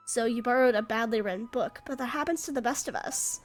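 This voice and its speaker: A sick, hoarse woman voicing a small chatty female companion creature, reading game lines aloud. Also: a little high, brisk, faint music behind, close to an everyday voice. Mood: teasing. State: stuffy and hoarse.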